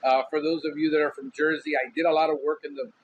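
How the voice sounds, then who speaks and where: A man from Miami with a Cuban Miami accent, hosting a podcast in a casual monologue, loud, outspoken and candid, smoking a cigar as he talks. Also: on the high side, flat delivery, fast talker, muffled recording, a little hiss or hum. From Miami, USA. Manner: smoking a cigar while talking. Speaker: podcast host.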